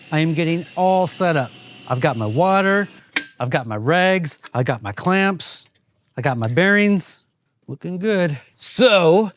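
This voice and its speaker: A man hosting a woodworking vlog, explaining things in an informal, plain-spoken way. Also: fairly high pitch. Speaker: host of a woodworking channel. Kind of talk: casual workshop vlog narration.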